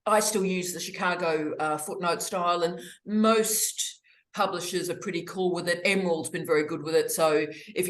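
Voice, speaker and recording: A woman hosting online academic office hours, witty and opinionated, with theatrical asides, over a video call. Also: on the low side. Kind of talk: lively, opinionated casual chat. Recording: roomy echo on a video call.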